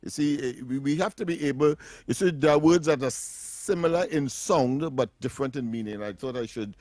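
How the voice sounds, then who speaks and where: A man's voice: a little high, a little fast, a little mellow, no room sound. A middle-aged Trinidadian man co-hosting a radio talk show, explaining words with frequent laughs. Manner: laughs often, lectures on words. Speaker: radio co-host. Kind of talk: casual radio chat. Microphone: studio mic.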